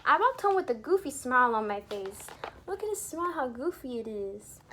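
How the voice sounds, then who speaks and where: A woman reading a picture book aloud to young children in an expressive, teacherly way, pausing to explain things and put questions to the listeners. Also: high-pitched, a little hiss or hum. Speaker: children's storybook reader and teacher. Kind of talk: animated read-aloud for young children with asides explaining words.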